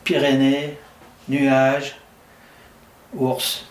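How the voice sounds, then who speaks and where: A middle-aged man from France, a farmer telling a relaxed, anecdotal story in casual conversation. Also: mid-range voice, drawn-out words. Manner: easygoing storyteller, frequent fillers. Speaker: interviewee, distillery farmer. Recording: roomy and distant, faint background noise.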